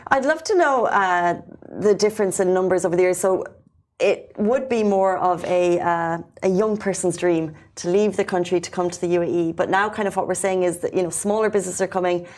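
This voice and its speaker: A woman's voice: on the low side, a little fast, a little mellow. Woman host asking long questions. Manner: asks long, winding questions. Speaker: show host. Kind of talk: casual panel discussion.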